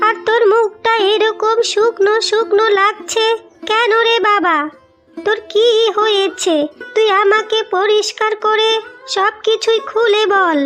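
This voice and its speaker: A bitter older mother-in-law in a village cartoon fable. Her voice is piercingly high and full of nagging complaint. Faint music runs behind her. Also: fairly bright tone, full character voice. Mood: concerned. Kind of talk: Bengali cartoon moral story acting.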